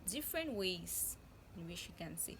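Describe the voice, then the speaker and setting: A young woman from Cameroon teaching a beginner language lesson, saying each example phrase slowly and clearly. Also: fairly low pitch, very animated, noisy background. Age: young adult. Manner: slow and deliberate, spelling out example phrases. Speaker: French teacher.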